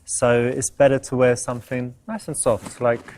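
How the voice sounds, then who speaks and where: A man's voice: mid-range voice, little pitch movement, normal speed, darkish tone. A man speaking casually and explanatorily as a dating coach and presenter. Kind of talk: casual instructional commentary and acted conversation.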